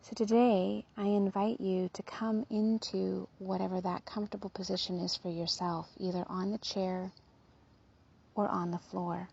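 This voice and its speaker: Soft, husky woman guiding meditation. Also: mid-range voice, little pitch movement, highs cut off. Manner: soothing, gentle, unhurried with soft trailing phrases. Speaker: meditation guide. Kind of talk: guided body scan meditation.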